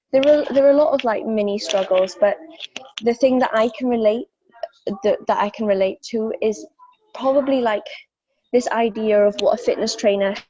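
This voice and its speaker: A woman's voice: a little high, mellow, muffled recording. A young woman from South Wales with a Welsh accent, answering interview questions as a fitness instructor, thoughtful and full of fillers and restarts.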